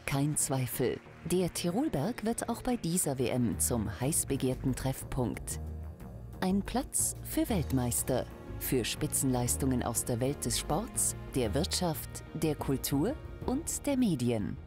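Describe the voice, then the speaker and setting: Low-voiced woman narrating a news report. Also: sing-song, takes it slowly, over music. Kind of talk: scripted voice-over narration.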